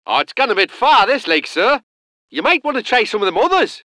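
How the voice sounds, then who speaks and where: A gruff, colloquial gunner. The voice sits high and swings widely, with a bright edge. It is recorded dry and close in a studio. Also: male voice, sing-song, brisk, dry recording, full character voice. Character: gruff northern British male gun crewman. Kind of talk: video game unit voice lines.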